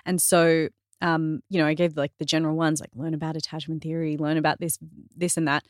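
A woman guesting on a podcast, a fitness trainer talking in an animated, earnest way with lots of "like" and self-corrections. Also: sits low, a little fast, no echo, studio quality. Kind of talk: casual podcast conversation.

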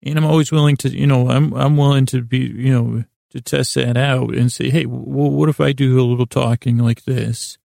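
A man hosting a sleep podcast in a creaky voice, meandering soothingly through a bedtime monologue, mumbling and stumbling over his words. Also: medium pitch, flat delivery, normal speed, few pauses, warm tone, no room sound, studio quality. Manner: soothing, lulling, friendly. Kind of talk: rambling bedtime monologue to help listeners fall asleep.

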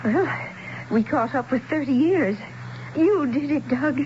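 A woman's voice: high voice, lively intonation, measured pace. A woman playing a kindly, wistful, aged small-town woman in a 1970s radio drama. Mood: moved, wistful. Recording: dull, faintly noisy radio broadcast.

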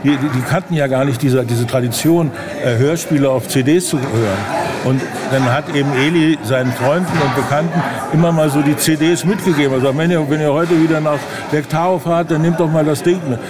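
A man being interviewed in casual conversation, speaking haltingly as he searches for his words. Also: a little high. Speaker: interview guest, audio drama director. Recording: busy crowd around the voice. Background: chatter of a crowd.

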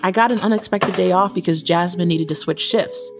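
An actress playing a caring, candid young girlfriend in an audio drama, casual and expressive. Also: on the low side, a little fast, lightly acted. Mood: upbeat, interested. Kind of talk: naturalistic audio drama acting. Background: music.

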